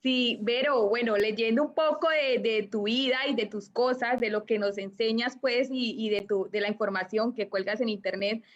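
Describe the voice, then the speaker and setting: A woman interviewer who asks her question hesitantly, with many halting restarts. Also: fairly high pitch, steady tone, lo-fi sound.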